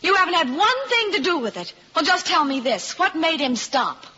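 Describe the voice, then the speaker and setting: An actress playing a devoted, concerned young fiancée in a vintage radio drama, earnest and coaxing. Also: female voice, very high-pitched, very animated, moderate pace, bright, forceful tone, full character voice. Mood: pleading, disbelieving. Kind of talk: 1950s radio drama acting. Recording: slightly noisy, muffled vintage radio recording.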